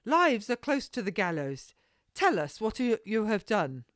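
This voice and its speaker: A woman's voice: mid-range voice, very animated, measured pace, no echo, clean studio sound, pitched above the performer's own voice. A woman voicing an anxious, demanding noblewoman in a period storybook, questioning urgently in formal speech. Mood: angry, curious.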